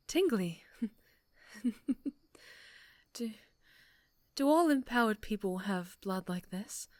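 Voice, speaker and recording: A woman plays an obsessive superheroine in an ASMR drama, wounded and menacing. She speaks slowly and haltingly, slipping into sweet, breathy whispers. The sound is dry and intimate. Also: mid-range voice, no room sound. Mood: eerie wonder.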